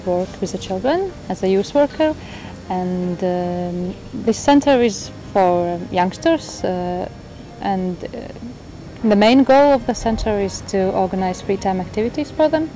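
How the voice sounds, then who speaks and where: A young woman from Latvia, a youth worker, describing her job earnestly with hesitant pauses. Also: mid-range voice, expressive, dark tone. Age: 20s. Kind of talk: documentary interview. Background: background music.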